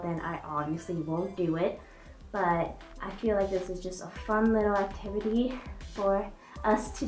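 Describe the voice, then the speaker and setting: A woman's voice: mid-range voice, darkish tone. A young woman vlogging to camera in a casual, bubbly way, with many fillers and restarts, her voice muffled by a fursuit head. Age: young adult. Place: small room indoors. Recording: echoey room with faint music.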